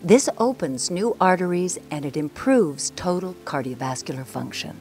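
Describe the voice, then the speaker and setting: A woman's voice: on the low side, lively intonation, normal speed, a little mellow, soft background music. A woman reading scripted promotional narration as the narrator of an advert.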